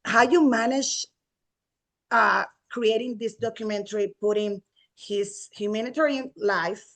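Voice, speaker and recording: A woman's voice: a little high, steady tone, measured pace, a bit bright. A woman from Puerto Rico with a Puerto Rican accent, interviewing guests with long, effusive questions.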